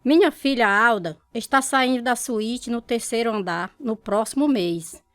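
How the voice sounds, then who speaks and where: An actress playing a kindly, generous widowed mother-in-law in a radio drama, speaking gently. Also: on the high side, a little slow, dry recording, clean studio sound.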